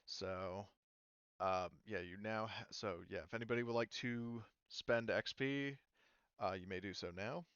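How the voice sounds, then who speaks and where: A man's voice: fairly low pitch, clean tone, dry recording, lo-fi sound. A man running a tabletop roleplaying game as game master, talking casually and hesitantly with many ums. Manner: relaxed, many ums and restarts.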